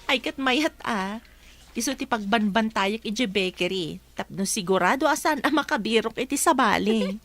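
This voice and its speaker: A woman's voice: fairly high pitch, expressive, normal speed, a bit bright. A woman acting as a possessive, watchful bakery wife in a comedy-drama radio play. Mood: chatty, possessive.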